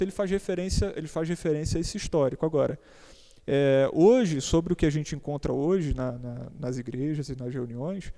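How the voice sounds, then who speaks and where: A man's voice: a little high, dark tone. A Brazilian man explaining a church topic in a conversational, hesitant way, often repeating words and adding casual asides. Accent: Brazilian Portuguese. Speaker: church teacher on a panel. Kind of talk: conversational teaching. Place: church hall. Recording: long hall reverb.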